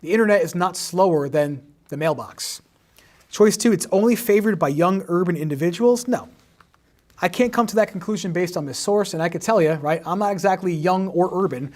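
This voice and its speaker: A man in his forties, a history teacher, reviewing exam questions on a livestream, explaining patiently and tagging sentences with "right?", his voice a bit hoarse after a teaching day. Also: high voice, brisk, darkish tone. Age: 40s. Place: home in the suburbs.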